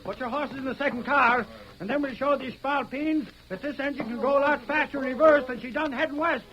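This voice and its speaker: Feisty high-pitched train engineer. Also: male voice, fairly quick. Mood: hearty, eager. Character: feisty gruff Irish railroad engineer, bossy and stubborn. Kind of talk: 1940s radio western acting. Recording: noisy muffled old radio recording.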